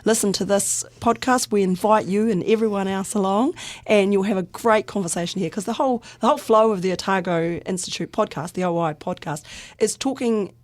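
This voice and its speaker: A woman hosting a radio podcast, her voice a bit croaky; she chats loosely, laughs often and trails off mid-thought. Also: mid-range voice, fairly quick, no room sound. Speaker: podcast host. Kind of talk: relaxed radio podcast conversation. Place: radio studio.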